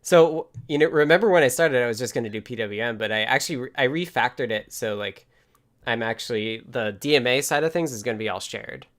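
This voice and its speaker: A man hosting a livestream, chatting casually and technically, informally thinking out loud as he goes. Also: medium pitch, a little fast. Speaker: livestream host.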